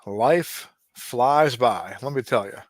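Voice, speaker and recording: A man's voice: medium pitch, slow, no echo. Chatty man in his forties calling baseball. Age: 40s. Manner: relaxed, chatty, given to asides and small restarts. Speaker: game streamer narrating a simulated baseball game. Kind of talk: casual play-by-play commentary.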